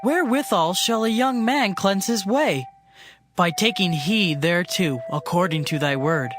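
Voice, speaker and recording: A man's voice: high voice, lively intonation, unhurried. A man giving a dramatized reading of scripture. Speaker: scripture reader. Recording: reading over a music bed.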